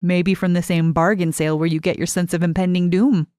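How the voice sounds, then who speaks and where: A woman's voice: fairly low pitch, little pitch movement, a little fast, mellow, no echo, studio quality, natural voice. A woman voicing an earnest, upbeat young student activist in story dialogue, with an encouraging lilt. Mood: earnest, hopeful. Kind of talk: audiobook character dialogue.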